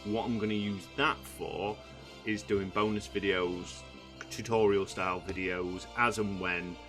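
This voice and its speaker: A man, croaky from just waking up, rambling through casual gameplay commentary with many fillers. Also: on the low side, steady tone, measured pace, over music. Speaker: gaming channel host.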